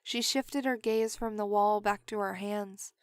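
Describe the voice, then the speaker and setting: Female audio drama narrator, medium pitch, scripted story read, very dry recording. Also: steady tone, measured pace, smooth, dry recording. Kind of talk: scripted third-person story narration, with retakes.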